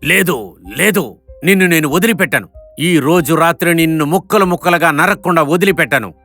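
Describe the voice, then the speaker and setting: A man's voice: on the high side, brisk, pushed and bright, faint music behind. Man as a menacing, lecherous moneylender villain. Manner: gloating, threatening.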